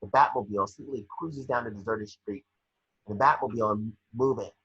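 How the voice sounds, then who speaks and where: A man with a husky voice reading scripted scene narration at an online table read. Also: lowish voice, fairly quick, a bit bright, muffled recording. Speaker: scene description reader.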